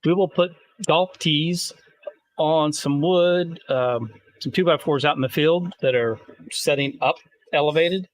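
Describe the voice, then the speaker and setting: A man chatting on a livestream, joking in a deadpan way. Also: on the high side, unhurried, dry recording. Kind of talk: casual livestream chat.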